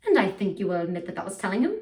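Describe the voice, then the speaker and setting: A woman playing a tipsy, pompous upper-class English gentleman with a put-on British accent, rambling through a grandiloquent speech. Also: medium pitch, sing-song, racing, close to an everyday voice. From United States. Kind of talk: theatrical monologue performance. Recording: voice from far off the mic.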